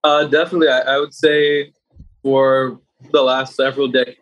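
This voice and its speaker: Male interviewee from around New York, average pitch, conversational, easygoing podcast delivery. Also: flat delivery, moderate pace, fairly bright tone, a little hiss or hum, low-quality recording. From New York area, United States. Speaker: podcast guest, entrepreneur. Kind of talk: casual interview answers.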